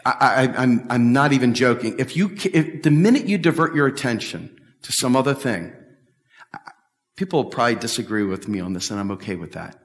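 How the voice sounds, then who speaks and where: A middle-aged man giving an animated, emphatic talk at a policy event, repeating his points and gesturing as he answers questions. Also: medium pitch, fairly quick. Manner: emphatic, repetitive, talks with big hand gestures. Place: large bright hall with windows, standing before a stage table. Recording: echoey hall from far off.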